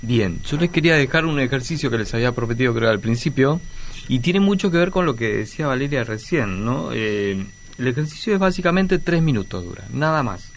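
A man with a gravelly, creaky voice talks listeners through a short exercise. He speaks calmly and guides them step by step. A faint hum runs behind him. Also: mid-range voice, a little fast. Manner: calm, guiding, relaxed. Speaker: guest.

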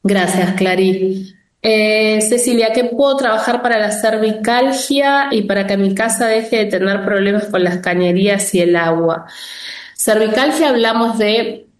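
A woman hosting a spiritual workshop, gently explaining her answers to viewer questions. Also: mid-range voice, roomy sound. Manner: gentle, explanatory, drawn-out phrasing with frequent "¿no?".

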